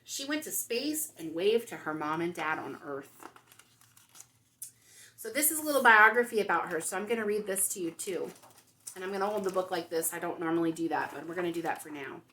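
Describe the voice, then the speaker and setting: A woman reading aloud and talking to young children as a storytime host, with expressive, simple phrasing. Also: on the low side, fairly quick, slight background noise. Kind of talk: picture-book read-aloud for young children.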